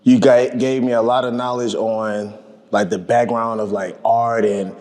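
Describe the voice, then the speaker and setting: A man's voice: mid-range voice, flat delivery, unhurried, a little mellow, big-room sound. A young man hosting a podcast interview, friendly and easygoing, often saying bro. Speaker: podcast host. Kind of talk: casual interview chat.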